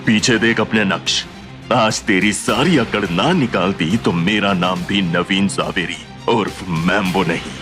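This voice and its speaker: A man's voice: low voice, a little slow, over music. A man playing a spiteful, greedy middle-aged uncle in an audio drama, theatrical and sneering. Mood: gloating. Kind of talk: Hindi audio drama acting.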